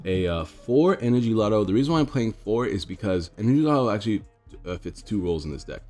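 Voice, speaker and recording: A man's voice: fairly low pitch, animated, quick pace, dark tone, faint music behind. A young man with a stuffed-up nose casually explaining his card deck to viewers, rambling and talking to them as guys. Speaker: card game streamer. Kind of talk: casual deck tech commentary.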